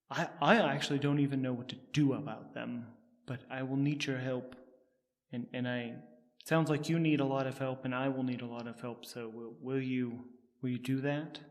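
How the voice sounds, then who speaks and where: A man voicing a nervous, excitable holography expert in an audio drama, with halting, earnest speech. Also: mid-range voice, in a large hall, lightly acted. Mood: wary, earnest. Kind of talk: solo audio drama role-play.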